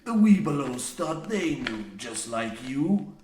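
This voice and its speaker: Give it a slow, roomy sound: a fanatical old mine foreman who preaches grandly in archaic, piratical speech. It is radio play horror parody, with a little noise in the recording. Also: medium pitch, sing-song. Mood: boastful. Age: older. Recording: roomy echo, faint noise.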